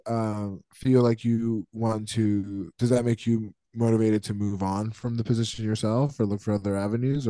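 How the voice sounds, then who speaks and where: A man with a fairly deep voice speaks as a podcast co-host. He is casual and reflective, talking personally and probing as he goes. The recording is very dry and free of room sound. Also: warm tone, dry recording.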